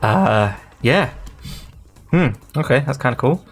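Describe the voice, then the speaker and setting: A man's voice: mid-range voice, lively intonation, slow pace, creaky, slightly rough. A man hosting his gaming channel, rambling and thinking aloud in casual gameplay commentary.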